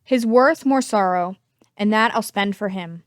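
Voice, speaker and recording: A woman's voice: mid-range voice, measured pace, no room sound, clean studio sound, natural voice. A woman playing a gracious, authoritative young king in an amateur Shakespearean dramatic reading. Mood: concerned. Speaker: amateur reader in library staff dramatic reading.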